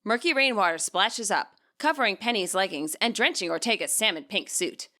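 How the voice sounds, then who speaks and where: A woman reading scripted story narration as its author, in an expressive way. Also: medium pitch, moderate pace.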